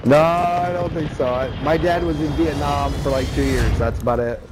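A man's voice: a little high, steady tone, normal speed, background noise. A man gaming in voice chat, easygoing and laughing along with the others. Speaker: gamer in a voice-chat squad. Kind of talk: casual game voice chat.

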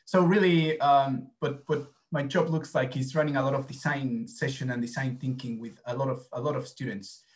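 A man's voice: medium pitch, steady tone, moderate pace, few pauses. A man in his thirties from Argentina with an Argentine Spanish accent, giving a conference presentation over a video call with many fillers and restarts. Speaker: academic presenter.

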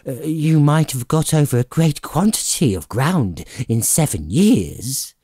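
A man's voice: on the high side, lively intonation, unhurried, mellow, breathy edge, dry recording, clean studio sound, voice pushed up. A man voicing a shaken, businesslike miser speaking deferentially and pleadingly to a ghost in an audiobook. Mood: faltering.

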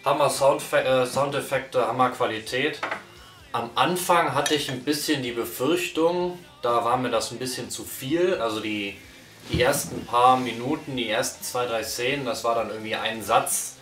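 A man's voice: mid-range voice, moderate pace, fairly bright tone. Man, blunt casual reviewer, echoey room, faint music. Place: small living room, on a couch. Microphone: far camera mic. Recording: roomy, distant mic, faint music.

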